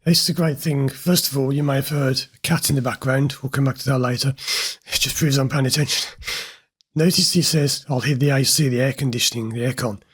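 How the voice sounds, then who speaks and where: A husky, slightly hoarse man talking straight to his viewers. He explains what he hears in a client's words, direct and a little amused. His voice sits at an ordinary middle pitch for a man. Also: fairly even intonation, brisk. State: slightly hoarse from recording many videos. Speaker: host, therapist analysing a recorded session. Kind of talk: commentary over an interview clip.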